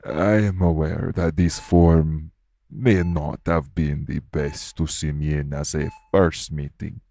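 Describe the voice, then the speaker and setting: A deep, creaky-voiced male iguana shifter , acted by a man, speaks as a scholarly archaeologist in an audio roleplay. His tone is dark and soft-edged. He is courteous and measured, choosing formal words to reassure. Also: heavily acted. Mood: formal, earnest. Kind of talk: audio roleplay acting addressed to the listener.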